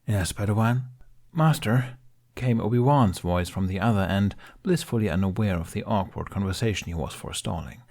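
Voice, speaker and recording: A man narrates a dramatic audiobook from a script. His voice sits somewhat low, and he reads in a storytelling style. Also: a little fast, creaky, few pauses, a little mellow.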